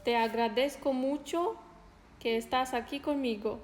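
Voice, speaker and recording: A woman whose first language is Spanish chats with her stream viewers in a fairly high voice, taking her time and stopping to hunt for the right words. Her voice bounces around a roomy space, with faint music playing underneath. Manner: unhurried, pauses to search for words, light laughs. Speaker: fitness streamer. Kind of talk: casual live-stream chat with viewers.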